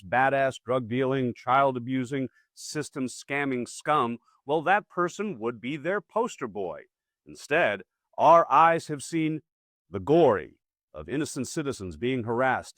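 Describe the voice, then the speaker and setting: Male political commentary host, wry and punchy, lively swings in pitch, dry close sound. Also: dry recording. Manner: wry, punchy, colloquial asides.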